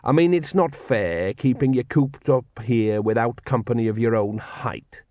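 A man's voice: medium pitch, warm tone, no room sound, natural voice. A man voicing a worried old male dwarf king who hesitates and fumbles for words. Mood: hesitant. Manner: hesitant, halting with ums.

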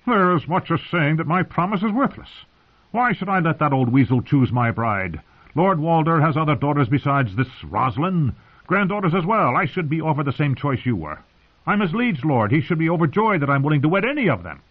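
A man's voice: fairly high pitch, sing-song, a little fast, narrow, tinny audio, voice pushed up. A man narrating an audiobook as a proud, hot-tempered lord, petulant and emphatic in his protests. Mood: seething.

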